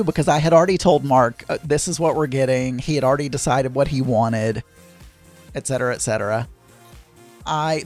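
A man with a hoarse, crackly voice, hosting a podcast in a rambling, chatty, digressive way with some self-deprecating asides. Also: fairly high pitch, a little mellow. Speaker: podcast host. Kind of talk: rambling casual chat.

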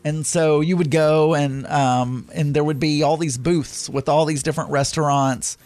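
A man with a Southern US accent telling a meandering, chatty story about his week, stopping often to correct himself and fill pauses. His voice sits a touch higher than most men's. Soft music plays faintly behind him. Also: steady tone, no echo. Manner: rambling, self-correcting storytelling with frequent fillers. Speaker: podcast host. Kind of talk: casual chat.

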